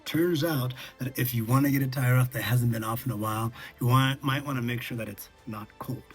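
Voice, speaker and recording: A man vlogging in a casual, conversational way, recounting lessons learned and often checking in with the listener, with a husky voice. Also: medium pitch, fairly even intonation, a little fast. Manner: conversational, checks in with "okay" and "all right". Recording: music behind the voice.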